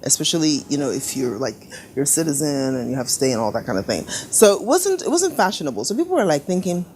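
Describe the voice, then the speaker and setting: Middle-aged woman chatting as a guest, low voice that swoops around a lot, faint hum behind. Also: quick pace. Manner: animated storyteller, laughs often, acts out quoted family voices. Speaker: fashion designer guest. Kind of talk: casual interview chat. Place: living room studio with sofas.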